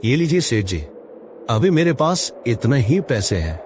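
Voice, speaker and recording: Man acting pleading poor farmer, lively pitch. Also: measured pace, a little hiss or hum. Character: poor, worried, pleading male village farmer. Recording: music behind the voice.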